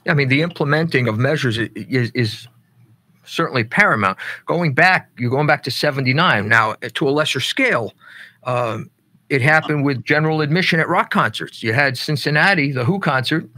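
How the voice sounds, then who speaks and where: A man co-hosting a podcast from a studio set, reflecting on past events in a measured way. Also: medium pitch, lively intonation, bright, forceful tone, dry recording. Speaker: podcast co-host.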